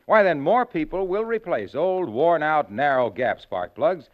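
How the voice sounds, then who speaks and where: A man announcing a scripted sponsor ad with an enthusiastic sales pitch. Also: lively intonation, unhurried. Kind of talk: scripted radio ad. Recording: dull vintage radio recording.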